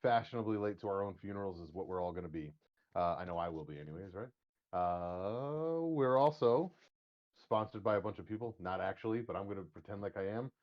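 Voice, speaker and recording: Guy hosting a podcast, fairly low voice, jokey and swears a lot, kinda muffled lo-fi audio. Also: brisk. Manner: joking, rambling, profane. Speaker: podcast host. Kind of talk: casual chat.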